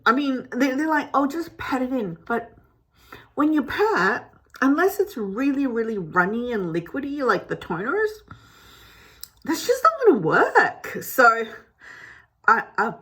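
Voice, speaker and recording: A woman's voice: high voice, very animated, a little slow, husky. A woman who grew up in Malaysia, chatting to camera at home in a rambling, casual way with many restarts. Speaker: beauty vlogger. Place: home, indoors.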